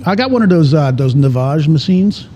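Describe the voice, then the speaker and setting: A man's voice: fairly high pitch, expressive, normal speed, dark tone. A man chatting casually as a podcast co-host, telling stories in a joking, profane way. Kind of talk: casual bantering chat. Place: indoor cigar lounge. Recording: reverberant room, background noise.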